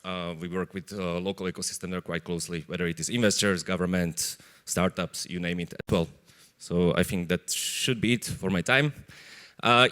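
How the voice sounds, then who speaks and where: A man from Slovakia with a Slovak accent, giving an informal stage pitch as a venture capital investor. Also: a bit bright.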